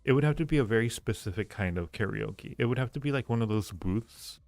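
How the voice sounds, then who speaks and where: A man hosting a casual livestream, musing aloud in fragments as he talks with viewers in a gravelly voice. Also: a little fast, a little mellow, no room sound, faint music behind. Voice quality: low-pitched, gravelly creak. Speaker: livestream host reading viewer chat.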